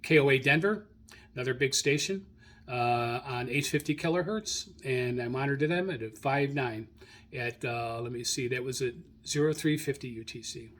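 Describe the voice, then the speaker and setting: Halting, informal man hosting a hobby show. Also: medium pitch, a bit bright. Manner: halting, self-correcting, searching through notes. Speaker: radio hobbyist host. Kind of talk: informal spoken log rundown, unscripted.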